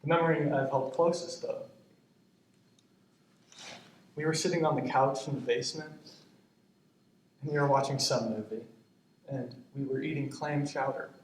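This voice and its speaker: A man's voice: mid-range voice, fairly even intonation, moderate pace, close to an everyday voice. A man acting a tender grandson in his twenties in a stage monologue, confiding in his departed grandmother in a reflective, hesitant, conversational way. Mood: nostalgic, tender. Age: 20s. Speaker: stage actor in a student play. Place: indoor stage, recorded from afar. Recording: echoey, distant stage recording with noise.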